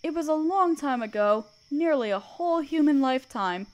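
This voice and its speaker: A woman playing a gentle, motherly, regal spider queen in a comforting roleplay audio drama, speaking in a soft, deliberate, soothing way. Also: high-pitched, animated, lo-fi sound. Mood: gentle, wistful.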